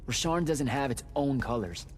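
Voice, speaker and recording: An actor playing a brash, emotional young male battlemage in a video game, speaking informally and haltingly. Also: medium pitch, expressive, natural voice. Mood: hurt, agitated. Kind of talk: video game cutscene acting.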